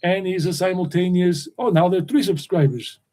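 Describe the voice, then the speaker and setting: Meandering man, mellow voice. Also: high-pitched, moderate pace. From United States. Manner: meandering, repeats himself. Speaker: tour guide and channel host. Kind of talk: rambling live stream chat.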